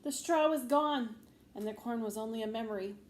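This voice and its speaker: A woman reading a children's story aloud expressively, with measured phrasing and deliberate pauses. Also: fairly high pitch, darkish tone. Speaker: storybook reader, teacher. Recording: faint background noise.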